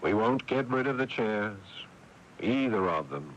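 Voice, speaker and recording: An actor playing a world-weary, exasperated older brother who narrates a 1980s radio drama with brooding guilt, in dramatic delivery. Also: male voice, fairly low pitch, expressive, unhurried, slight background noise. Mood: rueful, confessional. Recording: dull lo-fi AM radio broadcast.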